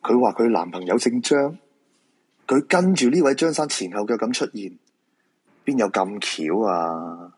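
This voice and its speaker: An actor playing a polite, nervous fortune-teller who sees ghosts, in a Hong Kong Cantonese radio drama, with a courteous, probing manner. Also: male voice, on the low side, expressive, unhurried, a little mellow, husky. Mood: alarmed, reasoning. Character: polite, nervous male feng shui fortune-teller with ghost-seeing eyes.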